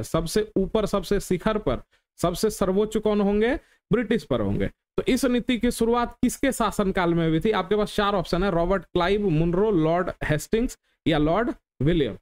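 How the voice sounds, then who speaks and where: A man's voice: high-pitched, darkish tone. A man teaching an exam question lesson, instructive, repeating key points and asking rhetorical questions of his students.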